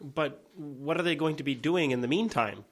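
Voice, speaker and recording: Male, actor as earnest small-town conspirator, lightish high voice, hesitant, placating radio-play delivery. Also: moderate pace, close to an everyday voice. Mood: questioning, uneasy. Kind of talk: audio drama acting.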